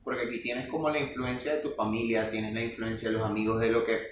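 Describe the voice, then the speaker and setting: A man, hosting a podcast and asking questions in a relaxed, attentive way. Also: medium pitch, quick pace. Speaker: podcast host. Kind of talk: podcast interview. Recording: roomy, distant mic, faint noise.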